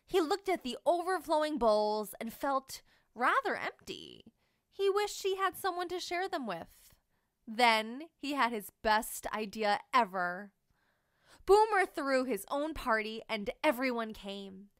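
A woman reading a children's picture book aloud in an expressive, animated storytelling manner. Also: a little high, unhurried, smooth, no room sound, studio quality. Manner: animated storytelling, sing-song. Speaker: read-aloud narrator.

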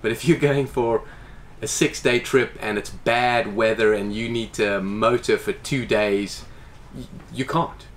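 A man's voice: medium pitch, measured pace, hardly any pauses, slight background noise. A man in his forties, a boat owner, explaining casually in an interview inside a boat's salon, gesturing as he talks. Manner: animated, gestures with hands while explaining.

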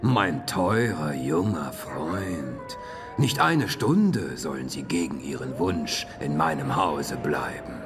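A man playing a courteous, menacing old vampire count in a radio drama, slow, mock-polite and gravelly. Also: very animated, music in the background, full character voice. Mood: coolly courteous menace. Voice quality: deep, gravelly.

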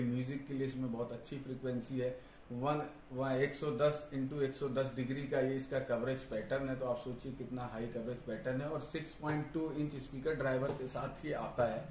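A man's voice: medium pitch, darkish tone. Male reviewer, explanatory and informal, recorded in a reverberant room with background noise. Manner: explanatory, repeats "you see" and "I'll show you". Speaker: product reviewer and audio installer. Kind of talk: informal product demonstration to camera. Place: indoor tiled storeroom with boxes.

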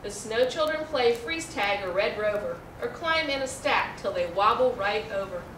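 A middle-aged American woman reads a rhyming children's picture book aloud in an expressive, carefully enunciated way. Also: fairly high pitch, normal speed. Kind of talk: children's storybook read-aloud. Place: indoor room, seated before a backdrop. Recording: roomy, distant mic, faint noise.

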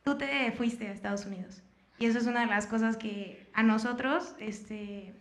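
A young woman from Mexico, a student asking a question into a handheld mic in a dark auditorium, searching for her words as she goes. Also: fairly high pitch, normal speed. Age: young adult. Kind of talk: audience question. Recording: echoey room.

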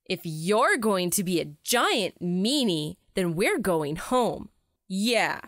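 A woman voicing a gruff, menacing cave-guarding monster with a grumbling, imperious manner in a children's comic. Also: mid-range voice, very animated, slow, fairly bright tone, no echo, clean studio sound, exaggerated voice. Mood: sulky.

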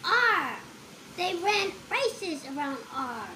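A child reading a picture book aloud in a slow sing-song way, letter by letter. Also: extremely high pitch, big pitch swings, background noise.

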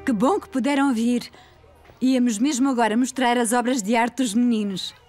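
A woman's voice: a little high, steady tone, normal speed, a little mellow, soft background music, natural voice. A woman playing a welcoming preschool teacher with a European Portuguese accent in a children's cartoon dub.

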